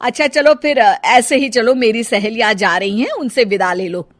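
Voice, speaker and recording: A woman's voice: a little high, lively intonation, moderate pace, natural voice. Woman acting a bossy, scolding mother-in-law. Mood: brisk, instructing. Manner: scolding, exclamatory questioning. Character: bossy, suspicious middle-aged Indian mother-in-law. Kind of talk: dramatized Hindi family storybook acting.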